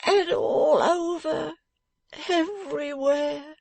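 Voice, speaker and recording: A woman voicing a cowardly, self-pitying young man in pain, with wailing, groaning, drawn-out complaints for an audiobook of a Victorian novel. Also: fairly high pitch, sing-song, husky, no room sound, pitched above the performer's own voice, heavily acted.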